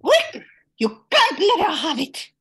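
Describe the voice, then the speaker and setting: A woman's voice: extremely high pitch, wildly swooping pitch, slow, pushed and bright, full character voice. A woman playing a noble, melodramatic lost love in an amateur space-noir radio play. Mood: pleading.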